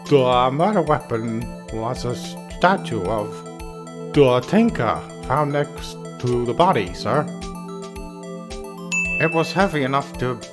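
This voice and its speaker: An actor playing a bumbling, nervous police detective with a heavy stammer and a deferential manner, in a video game dub. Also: male voice, mid-range voice, expressive, takes it slowly, music in the background. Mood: nervous, eager.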